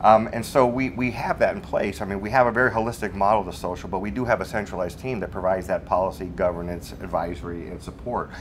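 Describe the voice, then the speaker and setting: A man speaking on a business panel in a studio, explaining in a measured way with frequent fillers. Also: low voice, steady tone, a little hiss or hum. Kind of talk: panel discussion.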